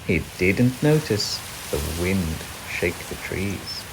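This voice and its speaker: A man narrating a children's story in an expressive, gentle, deliberate way. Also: deep voice, very noisy. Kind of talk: children's read-aloud narration. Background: crickets, animal and nature sound effects.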